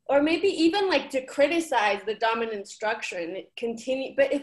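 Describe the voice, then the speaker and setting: A young woman from Ecuador, a program coordinator, talking earnestly and casually with many fillers. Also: high voice. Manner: earnest, uses many fillers like "like" and "you know". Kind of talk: casual conversational reflection.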